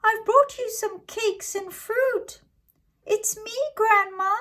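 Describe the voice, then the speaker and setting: A woman voicing a storybook wolf who pretends to be a sweet little girl, in a wheedling sing-song falsetto. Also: takes it slowly, a bit bright, full character voice. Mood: wheedling pretence.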